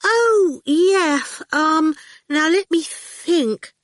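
Woman putting on a high, fussy, gushing spinster. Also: animated, extremely slow, no room sound, heavily acted. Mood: distracted. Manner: gushing, strained politeness. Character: fussy, falsely bright, flustered skinny older spinster.

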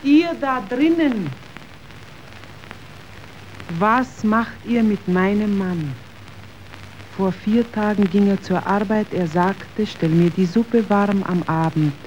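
An actress playing an anguished, stubborn working-class wife in a radio drama, speaking emphatically and accusingly. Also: female voice, medium pitch, dark tone, natural voice. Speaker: actor. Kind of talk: German radio drama acting. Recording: dull, noisy old radio recording.

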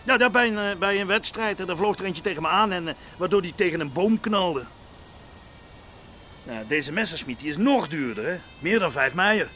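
A chatty, prying man in a radio drama. His voice sits high and swings up and down freely. He rambles, hesitates and seeks agreement, with some noise behind him. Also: animated, normal speed, sharp tone. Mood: chatty, evasive. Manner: hesitant, rambling, full of tag questions. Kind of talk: naturalistic Dutch radio play dialogue.

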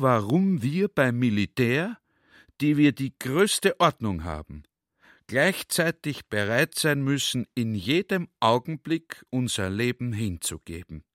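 Garrulous general, dry close sound. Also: male voice, mid-range voice, fairly bright tone, no room sound. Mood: pensive, worried. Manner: conversational, rhetorical, checks listener is following. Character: garrulous, earnest army general musing to a comrade. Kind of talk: radio play monologue.

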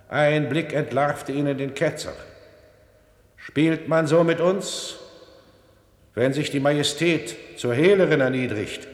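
An actor playing a stern, implacable old cardinal inquisitor, solemn and measured, in a classical radio drama. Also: male voice, mid-range voice, lightly acted. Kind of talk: classical 1950s German stage-style radio acting. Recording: echoing hall with long reverb.

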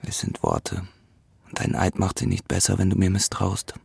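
Radio drama, guilt-laden, unsentimental man: deep and husky, terse, measured, recorded close and dry. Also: big pitch swings, a little mellow, dry recording, heavily acted. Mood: confessing, grave. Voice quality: low, gravelly, husky. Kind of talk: German radio play acting.

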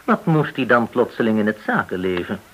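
An actor in a Dutch radio play playing a menacing gangster interrogator, controlled and commanding, full of veiled threats. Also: male voice, on the low side, big pitch swings, brisk, slightly rough, lo-fi sound. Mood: probing.

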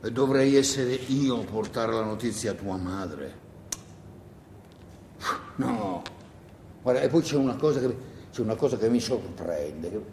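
A man's voice: fairly low pitch, animated, unhurried, long pauses, a little mellow. A pushy rambling country man, stage play, repeats himself a lot, big echoey hall, bit of noise. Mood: coaxing, insistent. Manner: hesitant, repetitive, coaxing, full of asides. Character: wheedling, rambling country man urging a young partisan to hide. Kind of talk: theatrical monologue, radio stage drama. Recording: echoey hall, long reverb, faint noise.

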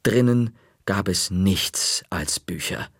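A man narrating a children's story in a measured, dry-humored way. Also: low-pitched, animated, gravelly, a bit bright, breathy edge, no room sound.

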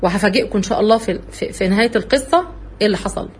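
A woman with an Egyptian Arabic accent giving an earnest religious talk, telling her own story in an animated way. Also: medium pitch, very fast, slightly rough. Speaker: religious speaker.

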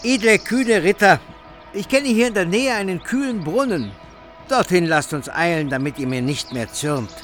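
Higher-voiced scheming knight. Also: male voice, animated, normal speed, fairly bright tone, soft background music. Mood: placating, sly. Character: cunning knight, smooth-tongued and scheming. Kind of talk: German radio play acting.

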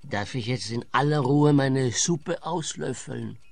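An actor playing a lovesick, easily outraged suitor in a comic radio play, whiny and stammering with pedantic indignation. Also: male voice, medium pitch, takes it slowly, vocal fry, no room sound. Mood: defiant, smug.

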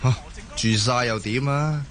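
A man's voice: mid-range voice, unhurried, fairly bright tone, close to an everyday voice. A man acting a patient, reassuring working-class Hong Kong husband in a Cantonese radio drama. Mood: weary, explaining. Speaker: voice actor in an audio drama.

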